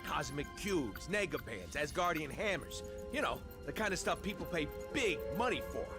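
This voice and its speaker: A man voicing a cocky, greedy raccoon mercenary from a video game, gruff and sarcastic with a brash, slangy delivery. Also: a little high, big pitch swings, moderate pace. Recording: music behind the voice.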